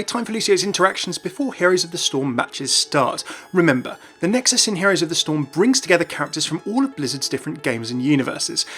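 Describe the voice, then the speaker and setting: A man's voice: on the high side, a bit bright. A man hosting a gaming video, reading scripted narration. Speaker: YouTube video host. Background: music.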